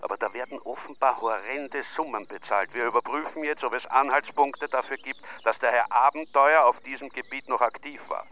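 An actor playing a brisk, matter-of-fact police inspector with an Austrian accent in a radio crime drama. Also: male voice, mid-range voice, pushed and bright, a little hiss or hum, lightly acted. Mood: businesslike. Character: brisk police inspector briefing on a murder case.

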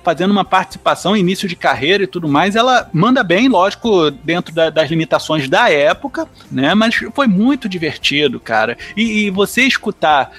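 A Brazilian man with a notably high voice talks as a podcast panelist. His manner is informal and enthusiastic, in the style of a casual group chat. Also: big pitch swings, fairly quick, few pauses, a bit bright, soft background music.

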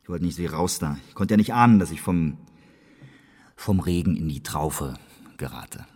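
An actor playing a weary, cornered prisoner under interrogation in a radio play, halting, resigned and sardonic. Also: male voice, low-pitched, lively intonation, darkish tone, breathy edge. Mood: weary, resentful. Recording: reverberant hall.

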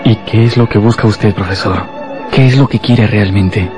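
A man's voice: fairly low pitch, moderate pace, music in the background, natural voice. An actor playing an anxious conspirator in a radio drama, nervous and probing in his speech. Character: uneasy male conspirator, anxious and questioning. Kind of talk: Spanish-language radio drama acting.

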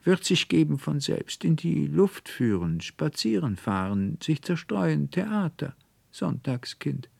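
A man's voice: on the high side, sing-song, slow pace, creaky, darkish tone, slightly rough, no room sound, voice pushed up, heavily acted. A man voicing a smiling, dismissive doctor in a storybook reading, speaking in clipped singsong phrases. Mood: airy, dismissive. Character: terse, smiling male doctor, airily dismissive.

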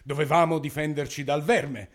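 An actor playing a pompous, menacing authoritarian government minister in a satirical radio play, declaiming grandly with smug brutality. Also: male voice, a little high, fairly even intonation, normal speed, close to an everyday voice. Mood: cold, matter-of-fact.

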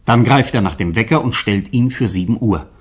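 Low, husky male radio drama narrator. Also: a little fast.

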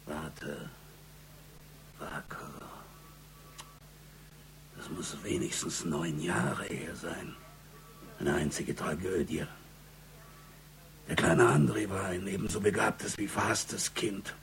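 An actor playing a gruff, weary police chief in a radio crime drama, speaking in a grave, ponderous way. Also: male voice, low-pitched, slow pace, long pauses, fairly bright tone, a little hiss or hum, exaggerated voice. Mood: grave, recollecting.

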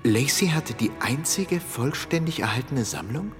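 A man's voice: medium pitch, animated, unhurried, music in the background, close to an everyday voice. An actor playing an inquisitive churchman, a bishop's confidant, in a German radio drama. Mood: surprised, curious. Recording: long reverberant hall.

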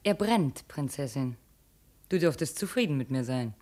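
A woman's voice: very deep voice, expressive, moderate pace, darkish tone. An actress playing a self-assured, scheming woman with a haughty, dramatic manner in 1950s radio drama style. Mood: coldly confident.

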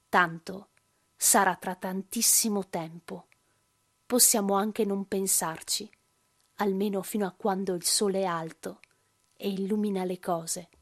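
A lone mother in a radio theatre monologue. Her voice sits at a middle pitch. She speaks to a child in short, measured phrases that repeat like an incantation. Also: fairly even intonation. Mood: tender, reassuring. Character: lone woman gently telling a child about death.

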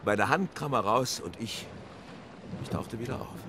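An actor playing a hearty, garrulous one-armed whaling captain in an audio drama, speaking like a vivid yarn-spinner. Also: male voice, mid-range voice, normal speed, noisy background, close to an everyday voice. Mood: excited storytelling.

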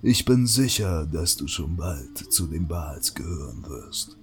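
A man plays a battle-hardened templar leader in a fantasy audio drama. The voice is deep and unhurried, calm and stern, as from someone who rarely speaks, with soft music beneath. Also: full character voice. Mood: calm, approving.

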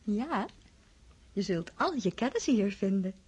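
A woman's voice: medium pitch, very animated, a little slow, a little mellow, slightly rough, exaggerated voice. A woman playing a proud, polite young hostess in a radio play. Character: proud hostess, the elder sister, polite and showy.

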